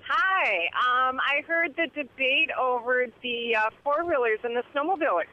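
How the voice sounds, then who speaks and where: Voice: sits high, normal speed. Casual radio caller on dull line. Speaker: radio call-in listener. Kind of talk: casual opinion call. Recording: dull lo-fi phone call.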